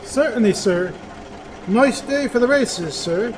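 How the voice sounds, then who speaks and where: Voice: expressive, slow. An actor playing a chatty, deferential young male porter in a radio play, with an eager, obliging manner. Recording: very noisy, engine rumble over voice.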